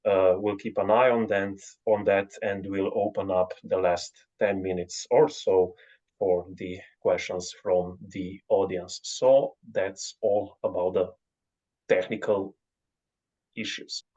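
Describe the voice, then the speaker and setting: A man gives announcements in a halting way, with many fillers. His voice sits low. The video call audio sounds dull and lo-fi. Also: level tone, darkish tone. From Slovenia. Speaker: panel co-organizer. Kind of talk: event announcements.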